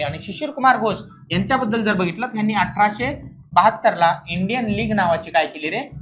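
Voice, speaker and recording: A man's voice: high-pitched, a bit bright. A man lectures as an exam coach, working through questions step by step. He repeats the important points and regularly breaks off with short questions to check that students follow.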